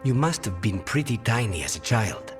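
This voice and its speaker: An actor playing a dry, measured contract killer with a soft spot for cooking in a video game. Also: male voice, on the low side, big pitch swings, over music. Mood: calm, frank. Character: dry, professional contract killer who loves cooking and coffee. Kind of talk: video game cutscene acting.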